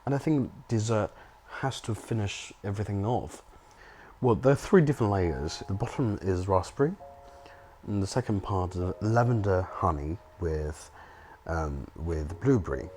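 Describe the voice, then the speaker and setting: A man's voice: sits low, moderate pace, a little mellow, a little hiss or hum. A young man from China, a filmmaker and home cook, speaking in a documentary interview, reflective and hesitant with many restarts and fillers.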